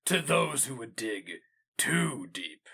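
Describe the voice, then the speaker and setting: A man's voice: medium pitch, big pitch swings, slow, vocal fry, pushed and bright, husky, heavily acted. A man voicing a commanding, haughty hero mage with formal, declamatory speech in a fantasy story reading. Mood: ominous.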